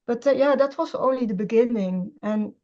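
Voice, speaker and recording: A middle-aged woman talks as an academic guest in an interview. She hesitates often and restarts sentences, in a mellow, warm voice. The sound is dull and lo-fi, as over a video call. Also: on the high side, moderate pace. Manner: hesitant, many fillers and restarts. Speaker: interview guest, scholar of Semitic languages. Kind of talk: conversational interview.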